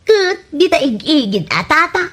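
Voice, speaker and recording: A woman's voice: sits high, big pitch swings, normal speed, a bit bright. A woman playing a meddlesome, mocking village wife who gossips and scolds, in an Ilocano radio comedy drama with an Ilocano accent. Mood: scolding. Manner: complaining, mocking.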